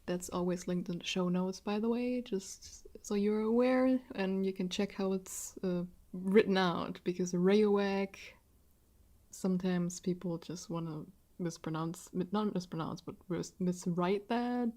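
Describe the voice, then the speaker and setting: A woman's voice: mid-range voice, steady tone, measured pace, dark tone. Woman, early 20s, German accent, English not her first language. Chatty, self-deprecating solo podcast host. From southwest Germany. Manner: chatty and self-deprecating, laughs often between sentences. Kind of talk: casual solo podcast talk.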